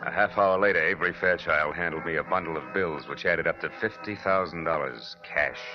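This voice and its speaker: Actor as deep-voiced hard-boiled radio detective. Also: male voice, a little fast, close to an everyday voice. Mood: dry, watchful. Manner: terse, wry, tough. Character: hard-boiled, wisecracking male private detective. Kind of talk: 1940s radio noir narration. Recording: dull old radio recording, music underneath.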